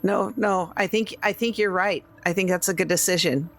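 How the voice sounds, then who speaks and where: A woman's voice: lowish voice, steady tone, normal speed. A woman playing a tabletop game, chatting casually and a little hesitantly.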